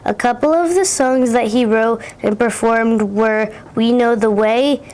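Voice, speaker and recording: A woman's voice: fairly high pitch, a little slow, a little mellow. A fourth-grade girl hosting an interview, reading her prepared introduction and questions carefully. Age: child, fourth grader. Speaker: student interviewer.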